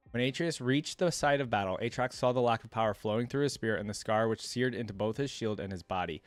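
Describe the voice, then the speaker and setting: A man's voice: lowish voice, no room sound. A man giving casual reaction commentary as a host, reading text aloud and adding conversational off-the-cuff remarks.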